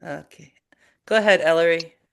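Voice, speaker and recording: A woman's voice: fairly low pitch, normal speed, gravelly, a bit bright. A woman leading an online meeting on a video call, calling on people by name with short prompts. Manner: brief, prompting others by name. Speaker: meeting facilitator.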